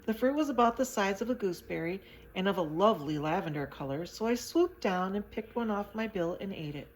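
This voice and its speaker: A woman's voice: mid-range voice, animated, fairly quick, slight background noise, close to an everyday voice, poor audio. A woman voicing a tiny, friendly flying creature who has shrunk, telling its story chattily. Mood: rueful.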